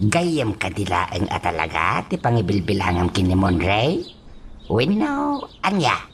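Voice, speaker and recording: An actor with an Ilocano accent playing a fretful, fumbling older village father in a radio drama, halting and stammering. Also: low voice, huge pitch swings, a little hiss or hum, full character voice. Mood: apologetic, pleading. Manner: halting, stammering, full of exclamations and a repeated catchphrase. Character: fretful, fumbling old village father with a repeated verbal tic.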